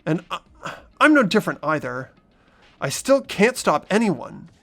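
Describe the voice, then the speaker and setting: A man's voice: on the high side, big pitch swings, unhurried, gravelly, heavy background noise, exaggerated voice. A man playing an earnest, anxious, self-doubting teenage boy who narrates and speaks in a fan-voiced game. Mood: worried. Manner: questioning, earnest.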